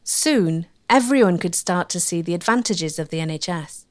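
A woman narrating a history documentary in a measured storytelling manner. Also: lowish voice, animated.

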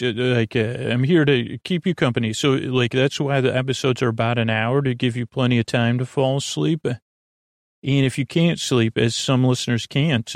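A man hosting a sleep podcast in a creaky, soothing voice, meandering through tangents, trailing off and restarting his sentences. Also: mid-range voice, dry recording, studio quality. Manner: lulling, soothing. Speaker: podcast host. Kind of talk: rambling sleep podcast monologue.

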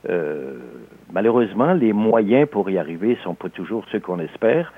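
Older male guest, Québécois accent, husky low voice, thoughtful and hesitant delivery, tinny phone-like audio. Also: big pitch swings. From Alsace, France. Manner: measured, halting with frequent hesitations. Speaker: interview guest, novelist. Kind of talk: reflective interview talk.

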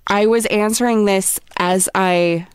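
A woman's voice: mid-range voice, fairly even intonation, a little slow, clean tone, no room sound, studio quality. A woman chatting casually as a podcast guest, wry and self-deprecating. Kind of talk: casual chat.

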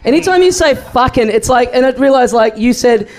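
An Australian man hosting a live podcast, chatty and quick with lots of fillers. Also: very high voice, expressive, a little mellow. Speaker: podcast host.

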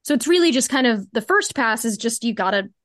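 A woman's voice: fairly high pitch, expressive, a little fast, fairly bright tone, no room sound, studio quality. A woman speaking as a podcast guest, explaining creative work in an informal, conversational way with casual filler words. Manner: explanatory, informal, peppered with "like" and "cool". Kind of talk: casual interview conversation about craft.

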